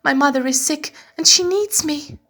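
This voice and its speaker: A woman voicing an anxious young female hairdresser from a storybook, earnest and pleading, with flattering manners. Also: sits high, moderate pace, voice pushed up. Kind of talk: storybook character voice.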